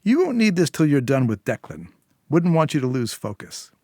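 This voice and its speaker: A man's voice: a little high, very animated, moderate pace, darkish tone, no room sound, studio quality, voice pushed up. A man voicing a menacing, sarcastic woman intruder in a story, bossy and taunting. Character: menacing, sarcastic, foul-mouthed female intruder.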